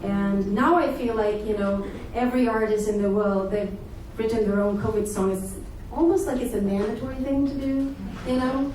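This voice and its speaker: A woman from Sweden, chatting with her audience between songs as a singer-songwriter, friendly and quick to laugh. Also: a little high, steady tone, warm tone, slight background noise. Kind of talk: live stage banter between songs. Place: live music venue, audience present. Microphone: single room mic in the crowd far from stage. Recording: distant, echoey live room mic. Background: guitar and live room sound.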